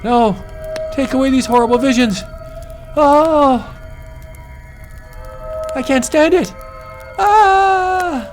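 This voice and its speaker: A man voicing an excitable, determined explorer anthropologist in a melodramatic comic book audiobook. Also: very high-pitched, takes it slowly, pauses often, soft background music, pitched above the performer's own voice. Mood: agonized.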